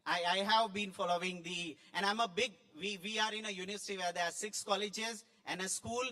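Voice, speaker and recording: A man's voice: high-pitched, steady tone, fairly quick, sharp tone. A man giving an effusive, emphatic impromptu speech as a judge at a school play event. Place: large school hall. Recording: echoing hall with long reverb.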